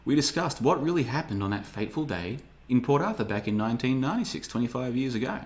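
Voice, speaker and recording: A man's voice: medium pitch, animated, fast talker. A man in his thirties hosting a podcast, talking in a rambling, sarcastic way as he reads the live chat. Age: 30s. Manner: rambling, sarcastic, slips into mocking voices. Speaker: podcast host. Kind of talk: casual podcast monologue reading live chat.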